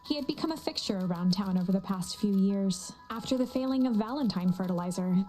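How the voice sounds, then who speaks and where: A woman playing a dramatic, playful storybook narrator in a video game, with a coy, deliberate delivery. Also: medium pitch, expressive, mellow, natural voice, poor audio. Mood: measured storytelling. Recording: music under the voice. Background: guitar and instrumental music.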